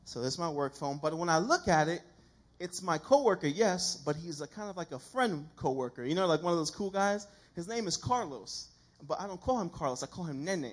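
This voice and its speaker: A man with a husky voice preaching an informal, colloquial sermon to a church congregation. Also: high-pitched. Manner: colloquial, self-deprecating, animated mimicry of dialogue.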